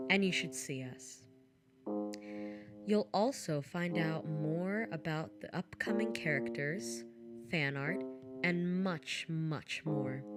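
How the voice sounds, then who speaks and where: Female podcast creator for scripted outro announcement, measured pace, strong music bed. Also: low voice.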